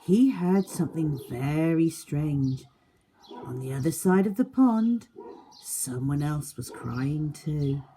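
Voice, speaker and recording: Woman, lowish voice, very lively intonation, unhurried, husky, children's read-aloud, teacher addressing young kids. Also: slight background noise. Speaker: schoolteacher reading stories to a class.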